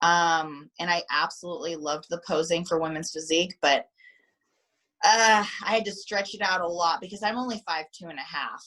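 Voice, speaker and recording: A woman athlete guest in a casual interview over a video call, animated and repeating herself when excited. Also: fairly low pitch, normal speed.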